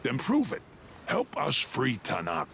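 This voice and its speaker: An actor playing a proud, bitter alien tribesman with a grave, forceful way of talking, in a video game. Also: mid-range voice, wildly swooping pitch, a little slow, creaky, slight background noise, full character voice. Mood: bitter, accusing. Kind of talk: video game cutscene acting.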